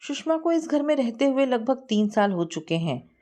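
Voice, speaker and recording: A woman's voice: high voice, very animated, moderate pace, dark tone, voice pushed up. A woman narrator voicing a persuasive older mother who reasons with her son and presses him to marry. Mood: coaxing. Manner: coaxing, reasoning.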